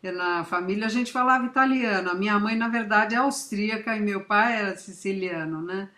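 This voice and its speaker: A woman's voice: mid-range voice, fairly quick, pushed and bright. An older woman from Italy, a guest writer in a casual interview, reflective and hesitant as she recalls. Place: library event. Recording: echoey room.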